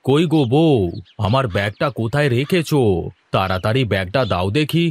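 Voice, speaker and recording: A man's voice: mid-range voice, lively intonation, a little fast, natural voice. A man playing a teasing, mildly bossy husband and devoted son in a cartoon drama. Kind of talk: cartoon voice acting, fairy-tale drama.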